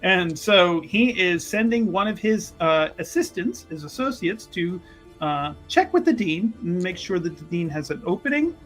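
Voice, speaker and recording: A man's voice: sits high, sing-song, moderate pace, a bit bright, soft background music. A man playing a tabletop roleplaying game, improvising narration about his character and often repeating his words.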